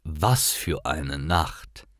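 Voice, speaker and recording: A man narrating scripted stories for language learners in deliberate, clearly separated short sentences. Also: rumbling low, lively intonation, a bit bright, no echo, clean studio sound.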